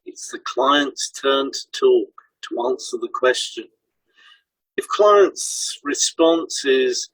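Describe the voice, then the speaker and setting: A man's voice: mid-range voice, vocal fry, a bit bright, husky, no echo, poor audio. A man co-hosting a podcast as a hypnotherapist, reading scripted explanations in an instructional way.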